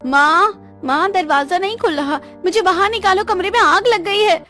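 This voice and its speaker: A woman's voice: very high-pitched, normal speed, sharp tone, soft background music, voice pushed up. A woman voicing a spoiled, envious young sister in a cartoon, whiny and dramatic. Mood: panicked pleading. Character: spoiled, envious young sister craving her brother's affection.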